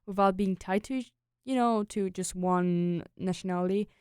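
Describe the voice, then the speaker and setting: A young woman tells personal stories in a casual interview. Her voice is clear and smooth at a middle pitch, and her talk is chatty, full of "like" and "you know." The recording is close and dry. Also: normal speed, warm tone, dry recording. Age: young adult. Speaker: guest, international exchange student.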